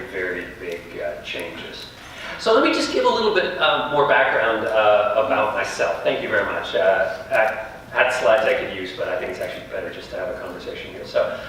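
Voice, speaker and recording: A man's voice: fairly low pitch, a little hiss or hum. A man giving a conversational public lecture with a big, projecting voice. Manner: conversational, loud, projects his voice. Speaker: guest speaker. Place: small event room. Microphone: handheld mic held away, then off. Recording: echoey room from far off.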